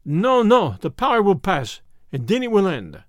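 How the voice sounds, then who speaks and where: A man voicing an excitable Frenchman at a seance in an audiobook, exclamatory and strained. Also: high-pitched, moderate pace, no room sound, studio quality. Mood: despairing. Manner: agitated, exclamatory, cracking under strain. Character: excitable Frenchman conducting a seance, boastful, then panicking. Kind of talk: dramatic audiobook character reading.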